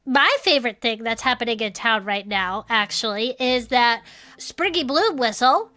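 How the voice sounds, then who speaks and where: Woman acting as a cheerful little turtle co-host, high bright voice, earnest, chatty, sweet, improvised comedy podcast. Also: lively intonation, moderate pace. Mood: delighted, chatty.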